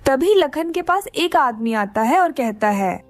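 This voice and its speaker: A woman's voice: sits high, lively intonation, unhurried, no room sound, soft background music. A woman reading scripted narration for a children's cartoon story.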